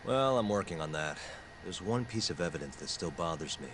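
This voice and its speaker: An actor playing a calm, self-assured defense attorney in a crime drama game, speaking in a cool, understated way. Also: male voice, deep voice, fairly quick, noisy background. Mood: cool, guarded. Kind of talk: cinematic video game dialogue.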